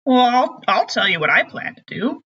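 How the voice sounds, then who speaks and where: A woman's voice: on the high side, big pitch swings, moderate pace, a bit bright, husky, dull audio. A woman playing a tabletop game, chatty and rambling in casual roleplay, with many "like" fillers.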